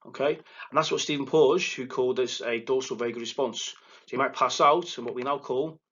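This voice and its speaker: A man with a thick Liverpool accent giving a recorded lecture as a psychotherapist, excitable and quick, often stumbling and restarting his words. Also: mid-range voice, slightly rough, dull audio. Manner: fast, excitable delivery with verbal stumbles and restarts. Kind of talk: recorded lecture to counselling students. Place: presenting alone without a live audience.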